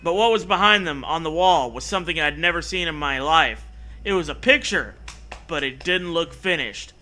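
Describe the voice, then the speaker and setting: A man's voice: a little high, expressive, normal speed, bright, forceful tone. A man hosting a comedy show, reading a story aloud mockingly with sarcastic, profane, theatrical asides.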